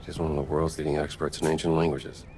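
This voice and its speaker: A man playing a gruff, wry American archaeologist adventurer in a cinematic game, dry and sardonic. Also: extremely low pitch, little pitch movement, fast talker, a little mellow, a little hiss or hum. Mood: matter-of-fact.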